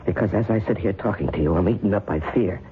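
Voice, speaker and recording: A man's voice: on the low side, fast, natural voice. Man acting a frantic, frightened man, tinny noisy radio. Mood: anxious, fearful. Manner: agitated, stammering, pleading. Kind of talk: old-time radio drama acting.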